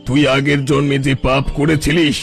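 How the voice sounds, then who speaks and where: Man playing cartoon holy man, gravelly creak, slightly high pitch, bossy, scolding, grand, music behind. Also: level tone, normal speed. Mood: grandly scolding. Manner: pompous, scolding. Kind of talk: cartoon story acting.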